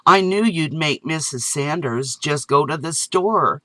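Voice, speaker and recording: An eager young boy who begs over and over, his voice pitched well up and pushed loud, put on by a man reading. Each plea lands hard on "Mom". The reading is close and dry, with no room around it. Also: animated, measured pace, dry recording. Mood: pleading. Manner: pleading, repeats "Mom". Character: earnest little boy, pleading.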